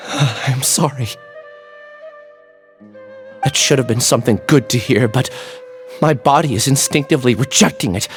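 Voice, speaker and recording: An actor playing a gentle, troubled young man with amnesia who speaks hesitantly and uneasily. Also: mid-range voice, unhurried, long pauses, soft background music, lightly acted. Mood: uneasy. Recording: game soundtrack behind.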